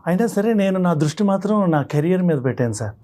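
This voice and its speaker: A man's voice: high voice, animated, racing, warm tone. A man from Visakhapatnam giving an earnest, respectful personal account as a guest on a talk show. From Visakhapatnam, India. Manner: respectful, frequently addressing hosts as sir or madam. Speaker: guest telling his problem on a counselling show.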